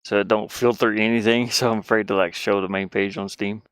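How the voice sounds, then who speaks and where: Low-voiced man rambling on a casual stream. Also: steady tone, fairly bright tone, clear, resonant tone, no echo. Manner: rambling, thinking aloud, halting. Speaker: game streamer and indie game developer. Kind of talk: casual livestream chat.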